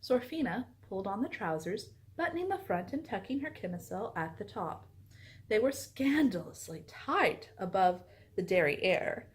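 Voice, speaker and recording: A woman book vlogger chatting casually and reading aloud in an animated, expressive way. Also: medium pitch, moderate pace.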